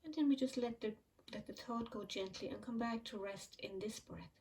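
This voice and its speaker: A woman leading a guided mindfulness meditation, speaking slowly and softly with long pauses. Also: on the high side, distant mic. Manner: slow, soft and soothing, with frequent pauses. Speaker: meditation teacher.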